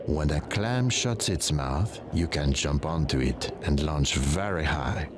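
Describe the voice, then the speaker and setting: A man voicing a solemn, wise guide in a cartoon game, formal, measured and instructive. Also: rumbling low, noisy background. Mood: encouraging, solemn. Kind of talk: game tutorial voice acting.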